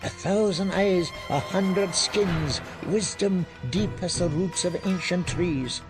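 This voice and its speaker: Male actor as solemn ancient pale lord of fantasy audiobook, dry, halting, weighty words over soft music. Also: high voice, voice pushed up. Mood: solemn, cryptic.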